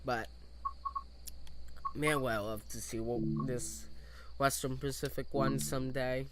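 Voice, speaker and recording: A man's voice: mid-range voice, fairly even intonation, unhurried, noisy background. A young man from the US East Coast commentating casually on a game, hesitant with many fillers, his voice a little off from coughing. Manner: hesitant, lots of fillers and restarts. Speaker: game streamer.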